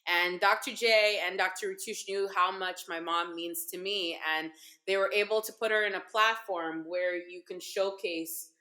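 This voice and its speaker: Bright-voiced woman in a roomy space. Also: medium pitch, fairly even intonation, normal speed. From Guyana, grew up partly in the United States. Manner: emphatic, repeats phrases for stress. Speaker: co-host of a cooking show. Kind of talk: casual warm conversation with her mother. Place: living room, seated on a sofa. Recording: roomy living-room echo.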